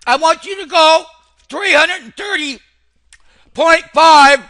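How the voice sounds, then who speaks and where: A man with a high, piercingly bright voice delivers live stock-trading commentary. He is excitable and full of hype, hammering phrases again and again as he talks straight to his viewers. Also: slow pace. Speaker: stock trader and host.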